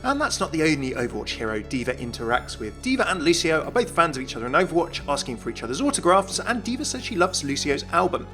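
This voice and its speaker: A man's voice: fairly high pitch, very animated, quick pace, few pauses, pushed and bright, faint music behind. A man narrating a scripted video game explainer as a YouTube host, enthusiastic and explanatory.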